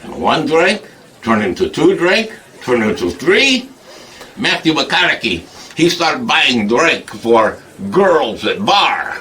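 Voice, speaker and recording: A man's voice: medium pitch, sing-song, takes it slowly, pushed and bright, distant mic, full character voice. A man performing a comic character named Igor in put-on broken English, rambling and laughing a lot. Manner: broken grammar, frequent laughing. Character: foreign male hunchback-type comic Igor, boastful. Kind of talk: comic monologue.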